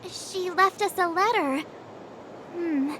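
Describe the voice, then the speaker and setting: A woman voicing a perky, excitable young girl adventurer in an anime-style video game. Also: extremely high pitch, takes it slowly, background noise. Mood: indignant, curious. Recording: game music behind.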